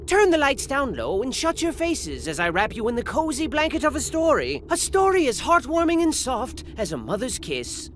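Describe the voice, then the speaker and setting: Over prominent music, a whimsical video game narrator with a high, wildly animated voice. He tells his tale like a theatrical bedtime storyteller, playful and melodramatic, with mock grandeur. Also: exaggerated voice. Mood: mock-cozy, whimsical. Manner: melodramatic, playful, mouths his own sound effects. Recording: music behind the voice.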